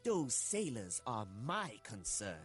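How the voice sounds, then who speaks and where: A man's voice: medium pitch, big pitch swings, takes it slowly, a bit bright. A man playing an arrogant, venomous royal admiral in a video game cutscene, haughty and commanding. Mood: scornful. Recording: game music behind the voice.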